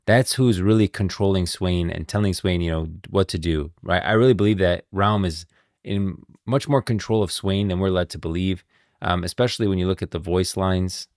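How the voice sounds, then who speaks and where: A man talks as a game lore streamer. His voice is deep and recorded close and dry, and he sounds casual and insistent, reasoning things out aloud. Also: a little mellow, dry recording. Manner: informal, thinking aloud, insistent. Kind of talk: casual livestream commentary.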